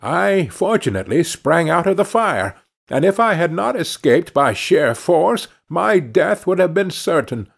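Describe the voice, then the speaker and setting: Storybook hot coal, played by a man: bold, impetuous, dramatic, with swooping pitch and a husky edge. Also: sing-song, unhurried, exaggerated voice. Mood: dramatic relief.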